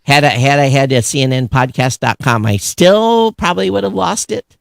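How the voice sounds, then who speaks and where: Male podcast co-host, middle-aged, blunt and sarcastic, average pitch, dry studio-tight sound. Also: moderate pace, vocal fry, dry recording. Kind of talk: casual opinionated tech news chat.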